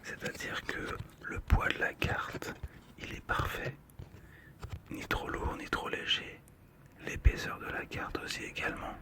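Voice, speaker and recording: Whispered, very slow, soft, close stereo microphone, ASMR narration, pauses between phrases. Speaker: ASMR video creator. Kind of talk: ASMR whispering, describing a collectible card.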